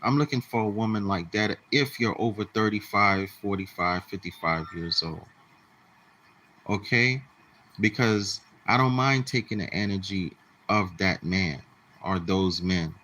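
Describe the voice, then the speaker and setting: A man's voice: lowish voice, a little slow, bright, forceful tone, slight background noise, low-quality recording. A man in his late forties speaking straight to his viewers in a blunt, repetitive, lecturing way. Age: 40s. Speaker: channel host. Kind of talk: casual monologue to the camera.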